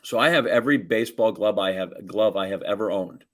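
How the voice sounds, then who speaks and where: A man's voice: on the low side, fairly quick, fairly bright tone. A man, chatting casually and telling rambling, folksy anecdotes as a podcast co-host. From Wisconsin, United States.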